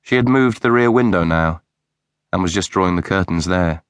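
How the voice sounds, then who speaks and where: Low-voiced male audiobook narrator. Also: smooth, no echo. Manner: measured, even storytelling. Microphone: close studio mic.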